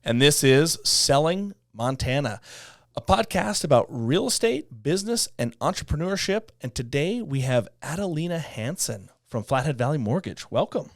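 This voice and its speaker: A man's voice: a little high, very animated, moderate pace, dry recording. Encouraging male podcast host, dry sound. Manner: encouraging, repeats himself in false starts. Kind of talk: casual podcast interview. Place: podcast studio in an office.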